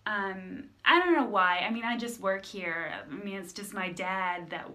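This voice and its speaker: A woman's voice: mid-range voice, measured pace, pushed and bright. A woman voicing a young female cashier who rambles hesitantly while explaining the menu. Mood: awkward. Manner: hesitant, lots of ums.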